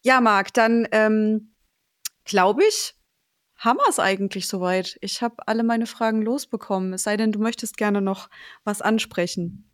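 A woman's voice: mid-range voice, measured pace, no echo, studio quality. A woman hosting a podcast interview, asking questions in a friendly way and affirming with short yeahs.

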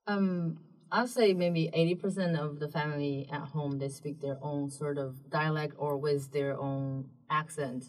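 A woman whose first language is Mandarin Chinese, speaking English with a Mandarin accent as an interview guest, explaining things carefully with frequent pauses. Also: low-pitched, steady tone, darkish tone. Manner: thoughtful, explaining with many um pauses. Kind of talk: casual explanatory chat. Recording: distant mic in a room.

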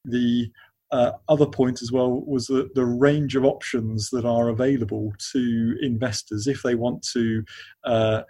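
A man giving unscripted, measured answers in a business interview, often repeating words as he feels his way through a sentence. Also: medium pitch, level tone, dark tone. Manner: halting, repeats words as they search for phrasing. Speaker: interview guest, investment funds expert at a law firm.

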